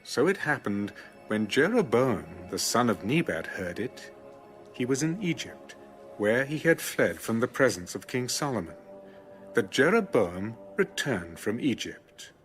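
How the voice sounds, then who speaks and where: A man gives a solemn, dramatic scripture narration. His mid-pitched voice moves expressively with measured storytelling, and clear music plays behind. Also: sing-song. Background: background music.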